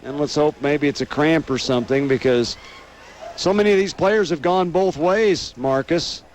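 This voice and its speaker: High-voiced American man calling live football. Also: moderate pace, slight background noise, rough recording. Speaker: radio play-by-play announcer.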